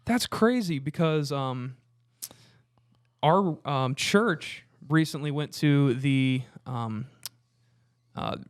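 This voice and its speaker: A man chatting casually as a podcast co-host, telling stories in an informal, halting way and acting out the dialogue. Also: a little high, clean tone, no room sound, studio quality. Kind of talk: casual conversational storytelling.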